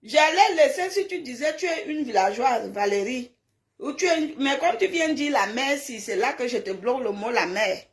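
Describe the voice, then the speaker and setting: A woman's voice: high voice, lively intonation, moderate pace. A woman chatting on a live stream, blunt and scolding, emphatic and given to repeating herself. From francophone Africa, grew up in a village. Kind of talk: casual live stream chat, replying to viewers' comments.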